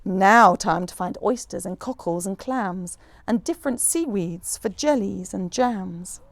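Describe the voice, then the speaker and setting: A woman reading a rhyming children's picture book aloud in an expressive, rhythmic storyteller's manner. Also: mid-range voice, unhurried, creaky, no room sound. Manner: animated, rhythmic rhyming delivery.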